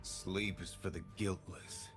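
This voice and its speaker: Brooding wandering swordsman guy, low voice, stoic and guilt-laden, video game with music. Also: level tone, takes it slowly, a bit bright. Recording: background music under game dialogue.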